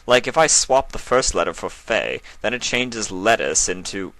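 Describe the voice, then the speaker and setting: A man as a bright-voiced, scoffing stallion scientist. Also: lowish voice, expressive, moderate pace, no echo, pitched above the performer's own voice, rough recording. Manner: dismissive, stammering when flustered. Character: scoffing, pedantic male stallion museum scientist.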